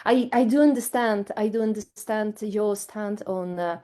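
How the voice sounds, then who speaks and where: Woman, mellow voice, asks a long question in halting english. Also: medium pitch. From Bulgaria. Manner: searching for words, light laughs. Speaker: conference audience member asking a question. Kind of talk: academic Q&A question.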